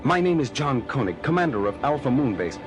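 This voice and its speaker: An actor playing an earnest, wary moon base commander, terse and direct, in an audio drama. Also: male voice, mid-range voice, sing-song, fairly quick, natural voice. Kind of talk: 1970s audio drama acting. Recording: music behind the voice.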